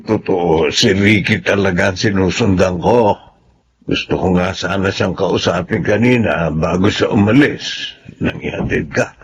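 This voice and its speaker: Low-voiced, pleading older Filipino father. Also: vocal fry, husky, exaggerated voice. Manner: earnest, pleading. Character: pleading, distraught older father of a wronged daughter. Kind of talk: Filipino radio drama acting. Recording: slightly dull, music behind. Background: dramatic music.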